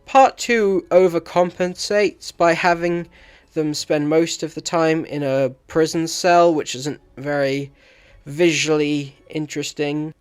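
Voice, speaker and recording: A man's voice: a little high, fairly even intonation, slow, clean tone, faint music behind. A man giving a scripted review as commentary. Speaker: video reviewer.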